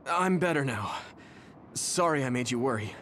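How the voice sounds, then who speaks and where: A man's voice: medium pitch, expressive, normal speed, fairly bright tone, slight background noise, close to an everyday voice. A man voicing an earnest young soldier who commands a platoon in an anime-style game. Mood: relieved.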